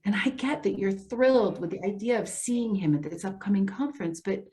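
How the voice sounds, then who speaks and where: A woman's voice: medium pitch, expressive, a little fast, dark tone, close to an everyday voice. Actress as social worker lady, means well, careful and apologetic, hesitates a lot, stage play reading. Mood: earnest, placating. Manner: hesitant, earnest, placating. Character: well-meaning female elder affairs social worker, apologetic, cautious. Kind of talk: readers theater stage acting.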